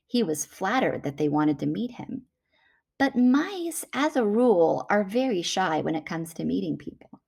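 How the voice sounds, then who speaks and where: A woman reading a children's picture book aloud in an animated storytelling manner. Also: sits low, vocal fry, husky.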